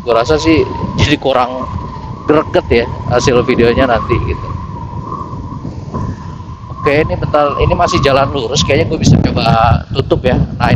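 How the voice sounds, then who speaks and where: Jakarta guy doing a motovlog, slangy casual chat into helmet intercom mic, traffic and engine noise behind, normal pitch. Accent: Jakarta Indonesian. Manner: informal, slangy, thinking aloud. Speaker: motorcycle vlogger. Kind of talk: casual chat to viewers while riding. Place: outdoors on a motorcycle in city streets. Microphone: intercom mic a finger from mouth, inside helmet.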